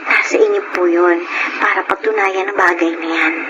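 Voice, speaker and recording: An actress playing a gentle, dutiful young daughter in a Filipino radio drama, with a Filipino accent. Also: female voice, lowish voice, fairly even intonation, moderate pace, dull audio, close to an everyday voice. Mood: reassuring. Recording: very noisy radio drama audio.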